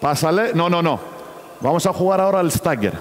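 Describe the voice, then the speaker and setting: Man, non-native speaker, coaching basketball, short called-out instructions, slightly high voice, echoey sports hall. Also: expressive, a little fast. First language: not Spanish. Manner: fragmented instructions, calling out to players. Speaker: basketball coach giving a clinic. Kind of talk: on-court coaching demonstration. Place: large indoor basketball arena.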